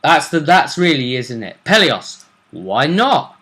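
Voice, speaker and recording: Bright, forceful man hosting a gaming chat. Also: on the high side, big pitch swings, takes it slowly. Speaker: host of a tabletop role-play vidcast. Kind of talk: casual tournament preview chat.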